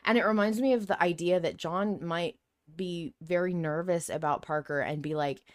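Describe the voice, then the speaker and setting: A woman with a fairly low voice hosts a casual discussion show. She talks informally, thinking out loud as she goes. The sound is dry, with little room in it. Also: moderate pace, no echo. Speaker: podcast host. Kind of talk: casual discussion of a fiction podcast.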